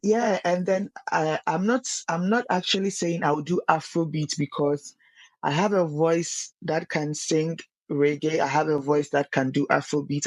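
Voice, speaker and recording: A woman's voice: low voice, no echo. A young woman, a singer guesting on a casual podcast, earnest and often repeating words as she searches for them.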